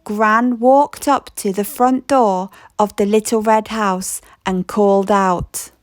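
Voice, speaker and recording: A woman reading a children's picture book aloud, slow and expressive with a playful rhythm. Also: mid-range voice, no echo, clean studio sound. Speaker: storybook reader.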